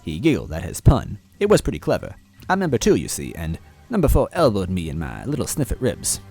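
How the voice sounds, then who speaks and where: A man narrating a fan-fiction story aloud in a playful, animated way. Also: lowish voice, fairly quick, breathy edge, soft background music.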